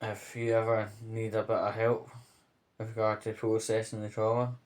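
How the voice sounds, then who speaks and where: A man speaking casually to his viewers in a vlog update, earnest and hesitant, pausing between short phrases. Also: lowish voice, monotone. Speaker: vlogger.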